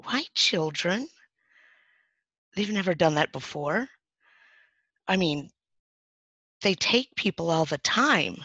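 A woman plays a scared young girl telling her own story in a dramatic monologue, with many halts and restarts. The voice is slow and gravelly with vocal fry. It is recorded dry and sounds dull and lo-fi. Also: on the low side, lively intonation, fairly bright tone, no room sound, heavily acted. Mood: uneasy. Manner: halting, hesitant telling with restarts. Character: frightened young girl hiding in her bed. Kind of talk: first-person dramatic monologue.

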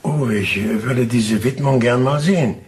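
An actor playing a shrewd, genial detective in a German radio crime drama, speaking in a theatrical, inquisitive and courteous way. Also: male voice, medium pitch, moderate pace, darkish tone, lightly acted. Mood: curious, amused. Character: shrewd, genial male police detective, quietly triumphant.

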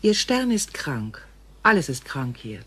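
A woman playing a grave, gentle female alien visitor in a science fiction radio play, speaking in formal, measured phrases. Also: sits low, very animated, breathy edge, exaggerated voice. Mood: sorrowful, solemn.

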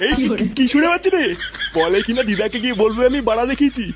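A man's voice: very high-pitched, lively intonation, fast, very noisy. A man voicing a cranky, foul-mouthed old village grandfather in a comic cartoon, scolding and grumbling. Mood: irritated scolding. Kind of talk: exaggerated comic cartoon dialogue.

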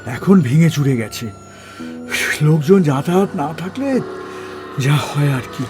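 Animated, high-pitched bossy man. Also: a little slow, soft background music. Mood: musing, brisk. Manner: animated, commanding, colloquial. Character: bossy, curious man in charge, exploring a ruin. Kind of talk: Bengali audio story acting.

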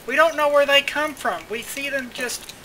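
A gamer chatting casually during play, teasing and bossy, shouting when excited. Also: high-pitched, normal speed, a little hiss or hum, low-quality recording. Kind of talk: casual gaming chat.

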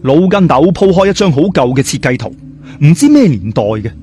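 A man's voice: on the high side, sing-song, a little fast, dark tone, a little hiss or hum. A man with a Hong Kong Cantonese accent narrating a story as a dramatic audiobook.

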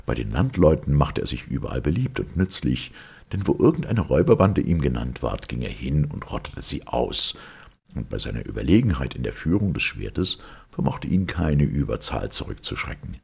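A man reading a legend aloud as a narrator, in a measured, formal storytelling delivery. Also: a bass voice, very animated, gravelly, darkish tone, breathy edge.

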